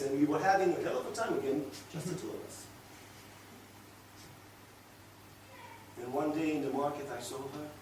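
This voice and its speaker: A man acting a passionate, boastful, unrepentant young womanizer in a theatrical stage monologue, swinging between hushed murmurs and loud declarations. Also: fairly high pitch. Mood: wistful, enchanted. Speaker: actor. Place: echoey indoor room, recorded from a distance. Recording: echoey room, distant mic, faint noise.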